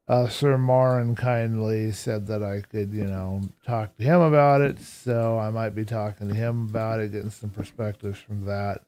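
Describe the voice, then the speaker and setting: A hoarse man chatting casually with viewers on a live stream while playing a game, answering chat aloud in a relaxed, reflective way. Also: medium pitch.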